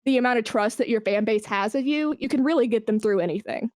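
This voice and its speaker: A woman chatting casually as an interview guest, telling work stories in a candid, slangy, animated way. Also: fairly high pitch, quick pace, no room sound, clean studio sound. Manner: candid, animated storytelling with slangy asides and acted-out quotes. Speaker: esports brand manager, podcast guest.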